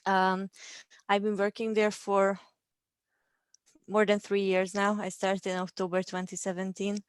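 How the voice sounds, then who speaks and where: A woman's voice: mid-range voice, completely flat delivery, measured pace, clean tone, dry recording. A Hungarian woman in her thirties, a guest in a casual interview, talking in a friendly way with frequent ums and light laughs. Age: 30s.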